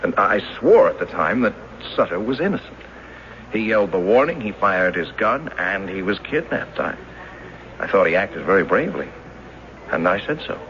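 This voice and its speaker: An actor in a radio drama playing a mild, earnest schoolteacher who speaks in a halting, hesitant way. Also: male voice, low voice, sing-song, a bit bright. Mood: earnest, recalling. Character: earnest mild schoolteacher, former bank teller, recalling a robbery. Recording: dull hissy old radio recording.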